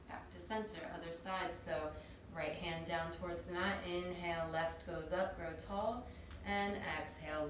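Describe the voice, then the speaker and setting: A woman teaching a yoga class, calmly guiding breath and movement step by step. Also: fairly low pitch. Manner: calm, gentle cueing of breath and movement. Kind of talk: guided yoga instruction. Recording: very echoey room, distant mic, faint noise.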